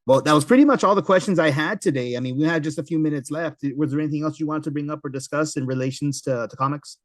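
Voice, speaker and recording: A man's voice: fairly high pitch, fast, dry recording, clean studio sound. A middle-aged man hosting a podcast, chatting casually and agreeably with his guest. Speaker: podcast host. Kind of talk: casual chat about comic books.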